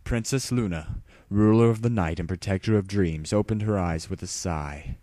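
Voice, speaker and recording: A man with a deep voice narrates a story in a dramatic audiobook style. The recording is dry, with very little room sound around the voice. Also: moderate pace, mellow, dry recording.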